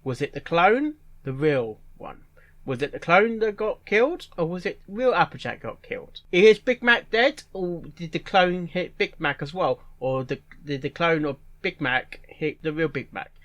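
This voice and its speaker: A man giving casual reaction commentary in a halting way, repeating phrases and musing aloud in questions. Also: a little high, expressive, a bit bright. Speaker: reaction video host.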